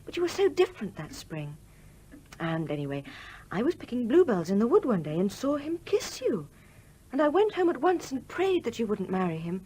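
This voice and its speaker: An actress playing an outspoken, affectionate young daughter in a radio play. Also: female voice, fairly high pitch, big pitch swings, moderate pace, mellow, husky, poor audio. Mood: confiding, fond.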